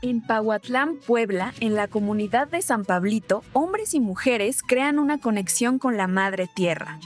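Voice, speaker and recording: A woman reading a scripted documentary narration in a measured way. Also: on the high side, lively intonation, soft background music.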